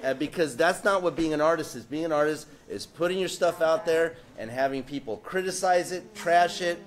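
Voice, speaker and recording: A man's voice: on the high side, a little fast. A man speaking on a live panel, rambling with many fillers and restarts and joking often. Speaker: panelist, political cartoonist. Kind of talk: casual panel talk. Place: event space with audience.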